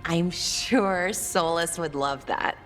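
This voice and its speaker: Female companion, fantasy game: slightly low, gentle, sincere, softly hesitant, faint music. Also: slow pace. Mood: tender, light. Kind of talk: fantasy video game acting.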